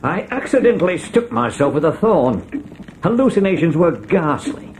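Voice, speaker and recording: Grave gruff man, animated intonation, audio storybook, faintly noisy old cassette. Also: fairly high pitch, moderate pace. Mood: gruff, weary.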